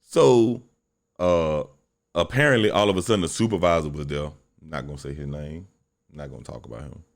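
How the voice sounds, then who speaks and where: A young man hosting a solo podcast, talking bluntly and profanely in a deep voice, telling a story with lots of animation. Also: normal speed. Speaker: podcast host.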